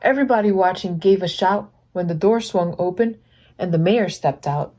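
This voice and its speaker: A woman reading a picture book aloud as a volunteer storyteller, animated and putting deliberate emphasis on sound words. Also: lowish voice. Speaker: volunteer children's book reader.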